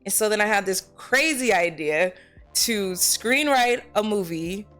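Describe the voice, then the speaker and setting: A woman talking casually as an interview guest, telling her own story in a candid, animated, conversational way. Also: medium pitch, a little slow, faint music behind. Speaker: interview guest, actor and filmmaker.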